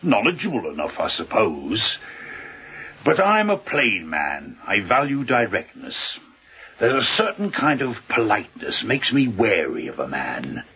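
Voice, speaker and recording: An actor playing an older, plain-spoken and rattled sea captain in a radio drama, husky and rough, halting and repeating himself with emphasis. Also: male voice, fairly low pitch, huge pitch swings, bright, forceful tone, highs cut off, full character voice. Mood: grave, agitated.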